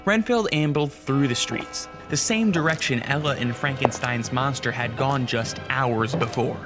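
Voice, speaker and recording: A man's voice: medium pitch, a little slow, over music. A man narrating a scripted radio drama as a storyteller.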